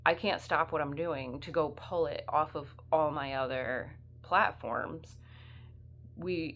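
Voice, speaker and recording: A woman's voice: deep voice, a bit bright, slight background noise. A woman with the flu, sniffly, chatting casually and rambling as a part-time reseller in her vlog. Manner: chatty, rambling, many fillers.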